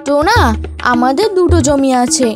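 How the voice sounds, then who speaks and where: A woman voicing a self-serving elder brother bird in an animated moral story. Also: high-pitched, moderate pace. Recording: music behind the voice. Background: music.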